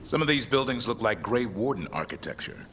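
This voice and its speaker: An actor playing an observant, keen warrior companion in a fantasy video game. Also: male voice, mid-range voice, sing-song, fairly quick, noisy background, close to an everyday voice. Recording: game sound effects over voice.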